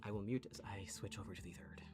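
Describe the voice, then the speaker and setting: A man's voice: lowish voice, fast, over music. A man streaming, narrating roleplay scripts and chatting casually between them.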